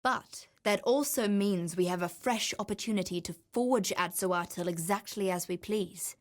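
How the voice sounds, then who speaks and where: A woman's voice: mid-range voice, unhurried, natural voice. An actress playing an adventurous, greedy woman treasure hunter in a video game, confident, wry and theatrical. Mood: eager, intrigued. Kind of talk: video game character voice lines.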